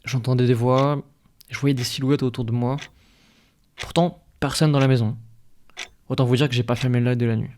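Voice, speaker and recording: A man's voice: medium pitch, steady tone, moderate pace, pauses often, darkish tone, a little hiss or hum, lightly acted. A man playing an ailing, anxious village worker in an audio drama, with a hesitant, stammering, folksy way of talking. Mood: troubled, uneasy. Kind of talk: audio fiction podcast acting.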